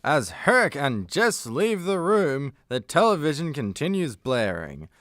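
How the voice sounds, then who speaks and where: A man's voice: a little high, very animated, measured pace, fairly bright tone, no echo, clean studio sound. A man reading scripted narration for a radio play.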